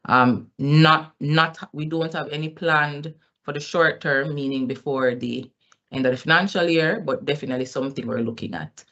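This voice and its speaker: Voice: medium pitch, fairly even intonation, normal speed, pushed and bright. Dull lo-fi audio, explanatory company executive. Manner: explanatory, formal business phrasing. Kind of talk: answering questions on an earnings call.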